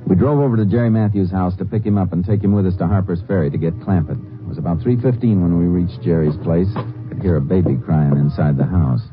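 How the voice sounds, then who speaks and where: Actor as brisk, deep-voiced Ranger, calm and clipped, old tinny radio with music. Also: male voice, natural voice. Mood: steady. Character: steady Texas Ranger lawman, narrator. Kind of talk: 1950s radio drama acting and narration.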